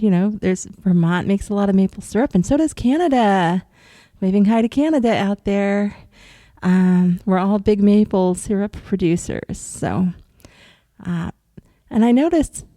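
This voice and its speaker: Dark-voiced woman with a cold. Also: medium pitch, unhurried, no echo, clean studio sound. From upstate New York. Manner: rambling, thinks aloud with ums and soft laughs. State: has a cold. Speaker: vlogger recounting astral travel. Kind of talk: casual storytelling to viewers.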